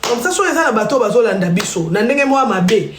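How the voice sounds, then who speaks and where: A woman's voice: a little high, expressive, fast, slight background noise. Chatty Congolese woman in an echoey room. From Congo (DRC). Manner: chatty, storytelling, addresses viewers directly. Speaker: live stream host. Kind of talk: casual live-stream chat.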